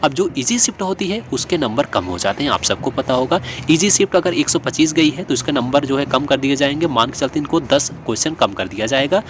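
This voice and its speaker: A man's voice: mid-range voice, lively intonation, fairly quick, few pauses, slight background noise. A man gives an informal explainer lesson, going step by step and repeating himself as he works through examples. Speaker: exam coaching presenter.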